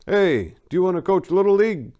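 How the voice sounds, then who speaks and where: Man doing an eager, high-pitched groundskeeper guy. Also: sing-song, fairly quick, dark tone, muffled recording. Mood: eager, friendly. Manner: calling out, slangy. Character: eager, shouting male softball field groundskeeper, casual and friendly.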